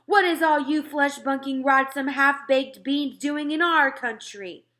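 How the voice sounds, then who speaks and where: A woman's voice: high-pitched, a little slow, fairly bright tone, voice pushed up. Woman acting out a bellowing brutish male giant. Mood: menacing. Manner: bellowing, mangled grammar. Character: huge brutish bellowing man-eating male giant, cowardly. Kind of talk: children's storybook read-aloud.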